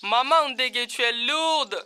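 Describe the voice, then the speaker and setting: Casting: actress as plaintive young cartoon boy, very sharp, bright voice, faint noise behind. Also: sits high, slow, heavily acted. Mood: teasing. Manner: plaintive, childlike. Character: sulky injured young boy footballer. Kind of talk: children's cartoon dubbing.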